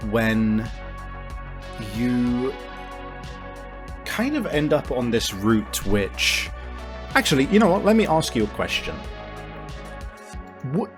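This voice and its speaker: A man narrating a tabletop game as game master, conversational and halting, with deliberate pauses. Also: mid-range voice. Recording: music playing behind. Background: background music.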